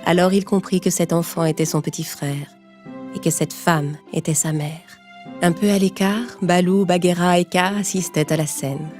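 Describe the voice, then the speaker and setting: Low-voiced woman narrating a children's story. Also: steady tone, moderate pace, darkish tone. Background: music.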